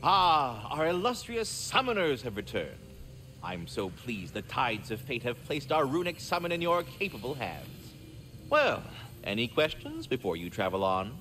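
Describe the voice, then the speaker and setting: A magical squirrel who tends a great tree in a video game. The voice swings dramatically up and down, formal, wordy and fussily polite with a dry edge. Faint ambience sits behind it. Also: male voice, medium pitch, wildly swooping pitch, moderate pace, sharp tone, a little hiss or hum. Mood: gracious. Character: magical talking squirrel, prim, courteous male caretaker. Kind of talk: video game character acting.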